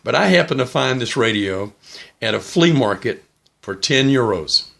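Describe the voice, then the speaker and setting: A middle-aged man casually explaining and demonstrating as a hobbyist vlogger, in a plain-spoken, unhurried way. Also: mid-range voice, animated, vocal fry, fairly bright tone. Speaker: vlogger, shortwave radio hobbyist.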